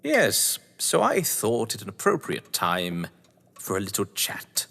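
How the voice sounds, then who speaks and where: Man playing an arch, animated English gentleman, echoey. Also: lowish voice, takes it slowly, creaky, heavily acted. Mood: smooth, probing. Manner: arch, wordy, condescending. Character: suave, sardonic upper-class English crime novelist husband. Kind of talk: theatrical audio drama dialogue. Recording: echoey hall-like reverb.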